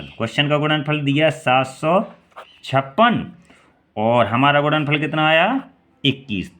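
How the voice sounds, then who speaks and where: Indian maths tutor, thirties, male, slightly high and forceful, drills steps with self-answered questions. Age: 30s. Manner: quizzes listeners and answers himself; repeats key steps and numbers. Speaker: maths teacher. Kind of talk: classroom-style exam prep lesson.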